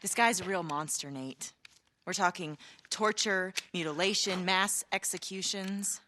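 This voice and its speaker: An actress playing a tough, skeptical journalist in a war zone, blunt and sardonic, for a video game. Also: female voice, fairly low pitch, unhurried, sharp tone. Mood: earnest. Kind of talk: naturalistic video game cutscene acting. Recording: faint background noise.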